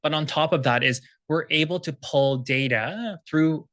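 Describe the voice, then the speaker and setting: A man's voice: on the high side, a little slow, fairly bright tone, no echo, clean studio sound. A man at a conference panel giving a long, technical answer to an audience question in fluent run-on sentences. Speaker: startup executive presenting.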